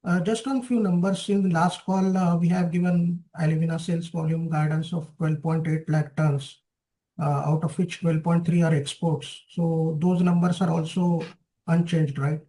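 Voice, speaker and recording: A man's voice: fairly high pitch, little pitch movement, moderate pace, dark tone, poor audio. A man with an Indian accent politely asking questions as an analyst calling into an earnings call. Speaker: analyst caller.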